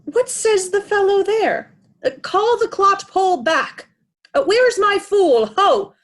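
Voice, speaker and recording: A woman's voice: very high voice, expressive, slow pace, full character voice. A woman playing an imperious, impatient old king in a readers' theatre Shakespeare play. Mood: impatient, demanding. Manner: commanding, peremptory questioning.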